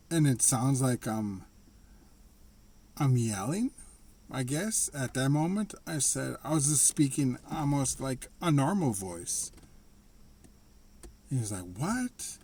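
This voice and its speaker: A man talking casually to the camera in a slightly raspy voice, rambling and restarting his sentences. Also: medium pitch, dark tone. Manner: rambling, halting, with frequent restarts and laughs. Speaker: vlogger. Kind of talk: casual personal monologue.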